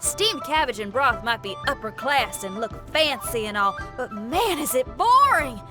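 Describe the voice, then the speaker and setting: A spirited young female rock musician from a video game. Her voice is high and swings widely, with a folksy, casual, friendly delivery. Music is clearly heard behind her. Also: sing-song, unhurried. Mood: agreeing, animated. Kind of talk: animated video game character acting.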